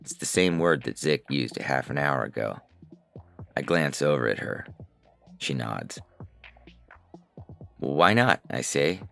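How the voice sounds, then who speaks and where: Extremely deep, creaky man narrating fiction. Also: sing-song, unhurried, pauses often, a bit bright, faint music behind. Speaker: narrator and reader. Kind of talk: scripted first-person science fiction narration.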